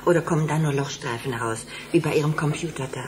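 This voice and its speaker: Wistful, lowish-voiced middle-aged woman played by an actress. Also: fast, lightly acted. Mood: curious. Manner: hesitant, wistful, often hushed. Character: wistful, dreamy grieving wife and mother. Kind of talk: German radio drama acting. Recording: clearly noisy background.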